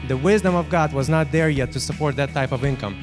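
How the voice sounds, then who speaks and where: Higher-voiced Ukrainian man giving testimony. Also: quick pace, music in the background. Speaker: business owner giving a testimonial. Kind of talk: personal testimony to a group.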